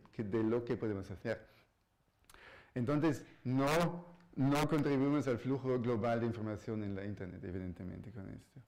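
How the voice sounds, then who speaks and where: A man, not a native speaker of the language, giving a public physics lecture as a professor, halting and searching for words with frequent restarts. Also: on the low side, a little mellow. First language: not Spanish. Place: university lecture hall.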